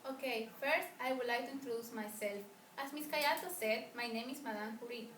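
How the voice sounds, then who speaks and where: A woman acts out a famous woman scientist being interviewed in an amateur film. Her high voice is earnest and formal, with halting phrasing. The sound is roomy and distant, with faint noise. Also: a bit bright, natural voice. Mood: polite, formal. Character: famous pioneering woman scientist, polite, earnest, proud talk-show guest.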